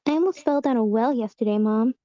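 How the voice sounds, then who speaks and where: A woman's voice: on the high side, quick pace, warm tone, no room sound, lightly acted. A woman voicing a bored, pestering, defiant young girl in an amateur screenplay table read, on a slightly dull online call. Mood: pleading. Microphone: video call.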